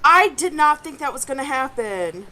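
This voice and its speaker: A woman's voice: sits high, very animated, moderate pace, bright, forceful tone, a little hiss or hum. A woman co-hosting a movie reaction, chatting casually with exclamations of surprise.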